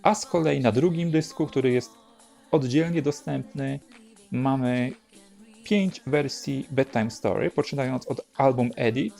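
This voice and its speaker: A man narrating an unhurried show-and-tell as host, in a measured, explanatory way. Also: medium pitch, creaky, mellow, soft background music. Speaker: host presenting a record collection.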